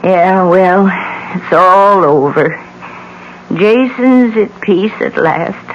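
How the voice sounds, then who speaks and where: An actress playing a weary, haunted older widow in a 1970s radio drama, speaking haltingly and repeating words. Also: female voice, medium pitch. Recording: muffled, faintly noisy old radio broadcast.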